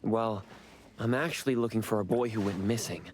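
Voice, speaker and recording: A man's voice: fairly low pitch, brisk, a little hiss or hum, close to an everyday voice. A man playing a reserved, polite young man in an anime game, speaking in an understated, hesitant way. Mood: polite, earnest.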